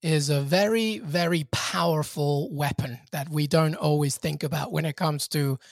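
A man's voice: on the high side, normal speed, gravelly, no room sound, clean studio sound. A man from Peru, a guest on a podcast, talking reflectively in casual chat with many fillers and restarts.

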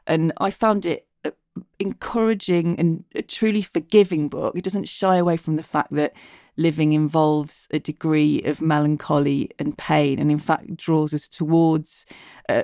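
A woman's voice: fairly low pitch, gravelly, darkish tone, no echo, clean studio sound. A woman hosting a podcast interview, reading an introduction and then asking thoughtful questions. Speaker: podcast host.

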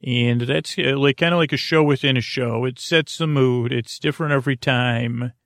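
A man hosting a bedtime podcast with a creaky voice, rambling in a lulling, hesitant, meandering way that keeps drifting into tangents. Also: mid-range voice, moderate pace, fairly bright tone, no room sound, studio quality. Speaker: podcast host. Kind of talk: rambling bedtime monologue for sleep.

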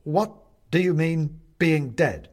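A man's voice: on the high side, animated, slow, pitched above the performer's own voice. A man voicing a frightened, bewildered male newcomer in a storybook, stammering and pleading. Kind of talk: storybook character voice.

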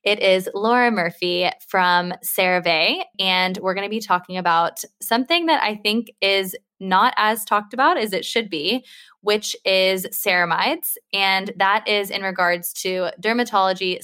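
A woman's voice: medium pitch, a bit bright, clear, resonant tone. An American woman hosting an interview show, friendly and upbeat as she introduces and questions her guest. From United States. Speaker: podcast host. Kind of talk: interview host chat.

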